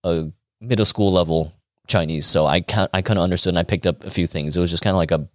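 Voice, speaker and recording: Low-voiced American man telling casual stories. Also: fast talker, no room sound, clean studio sound. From United States. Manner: animated, colloquial, acting out quoted dialogue. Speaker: interview guest, acupuncturist. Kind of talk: casual conversational storytelling.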